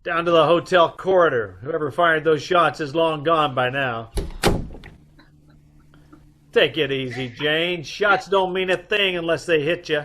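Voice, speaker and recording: An American man playing a wry, reluctant middle-aged gentleman detective in an old-time radio comedy, delivered deadpan. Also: on the high side, measured pace, slight background noise. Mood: calm.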